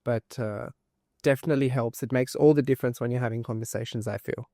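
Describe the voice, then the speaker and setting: A man's voice: medium pitch, steady tone, quick pace, mellow, no room sound, studio quality. A man from Tamil Nadu in India, a native Tamil speaker, talking as a podcast guest in a reassuring way, often saying "look" and "you know". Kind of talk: casual conversational podcast chat.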